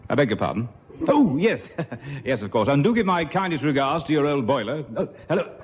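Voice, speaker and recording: A man's voice: fairly high pitch, very animated, brisk. A man playing a pompous, long-winded senior ministry official in a radio comedy. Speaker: senior civil servant, "number one". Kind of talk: 1960s-70s British radio comedy acting. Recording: dull old radio recording with reverb.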